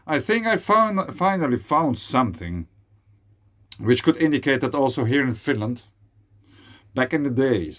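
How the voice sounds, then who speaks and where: A man with a Swiss German accent talks to camera in an unhurried, meandering way. His voice sits fairly low, and he drifts slowly from thought to thought, stopping often for fillers and restarts. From Switzerland. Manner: rambling, hesitant, with frequent fillers. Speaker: video creator. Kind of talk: casual monologue.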